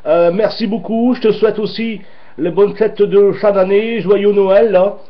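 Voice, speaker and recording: High-pitched man on amateur radio. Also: steady tone, a little slow, highs cut off. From France. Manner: hesitant, repeats his phrases. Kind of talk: radio contact chat with call signs.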